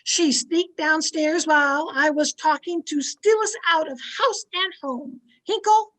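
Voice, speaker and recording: A woman acting a domineering, fearful, haunted wife in an old-time radio thriller, with an imperious, dramatic delivery full of halting dread. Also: sits high, fairly bright tone, low-quality recording. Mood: imperious, suspicious.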